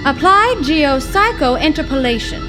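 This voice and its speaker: A woman's voice: high-pitched, expressive, a little slow. An actress playing a blunt, sarcastic young woman investigating her scientist father's lab, in old-time radio drama style. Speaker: scientist's daughter. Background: music.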